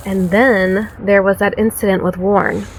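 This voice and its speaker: An actress playing a serious, weary small-town sheriff in an audio drama, measured and authoritative. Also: female voice, medium pitch, level tone, close to an everyday voice, rough recording. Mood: thoughtful, serious. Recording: faint vehicle ambience noise.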